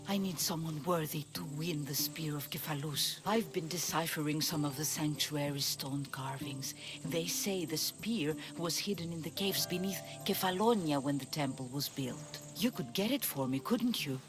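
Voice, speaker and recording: An actress playing a devout, hopeful priestess in a video game, earnest and gently pleading. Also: female voice, low voice, moderate pace, few pauses, music in the background, natural voice. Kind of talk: video game cutscene acting.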